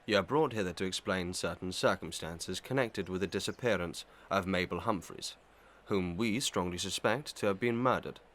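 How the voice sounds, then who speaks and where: Low-voiced, stern period magistrate acted by a man. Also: a bit bright. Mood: stern, official. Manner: formal, official, deliberate. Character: stern, measured male magistrate, formal and impartial. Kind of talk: Victorian melodrama audio play acting.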